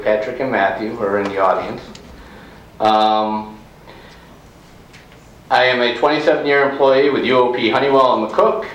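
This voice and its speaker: A man with a booming, slightly low voice gives a steady, formal campaign statement at a community forum. His handheld mic picks up a roomy, echoing meeting hall. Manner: steady, formal, reads a list of credentials. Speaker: village trustee candidate. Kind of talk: prepared opening statement at a public forum. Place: community meeting room with an audience. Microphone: handheld microphone. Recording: echoey public meeting room.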